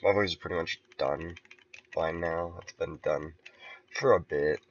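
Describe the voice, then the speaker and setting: A man casually talking through his level building on a stream, relaxed and thinking aloud with soft chuckles. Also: low voice, unhurried, dull audio, a little hiss or hum. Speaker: game level creator streaming. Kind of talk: casual commentary while building.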